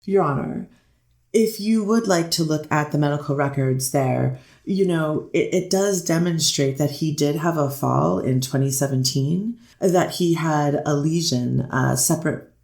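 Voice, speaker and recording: A performer playing a hesitant, deferential defense lawyer who pleads to a judge in halting, repetitive phrases, in a courtroom readers theater piece. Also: mid-range voice, creaky, darkish tone. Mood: halting, explanatory. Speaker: defense attorney. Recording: roomy, echoing voice recording.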